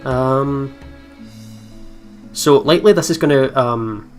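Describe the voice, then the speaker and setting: Let's player for a visual novel: narrates the story, chats casually, soft guitar music behind. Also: mid-range voice, takes it slowly. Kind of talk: reading game narration with casual commentary. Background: soft guitar game music.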